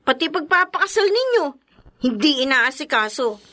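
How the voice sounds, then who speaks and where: A spirited young Filipino best friend in a radio drama. Her high, bright voice moves up and down freely, outspoken and protective. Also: very animated, moderate pace, exaggerated voice. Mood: chiding. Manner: teasing, outspoken, chiding.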